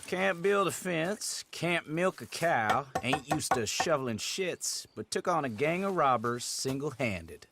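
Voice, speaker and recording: A man's voice: fairly high pitch, animated, measured pace, vocal fry, few pauses, heavy background noise. An actor playing a skeptical but friendly ranch foreman in a Western game, folksy and probing. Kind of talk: naturalistic Western game acting.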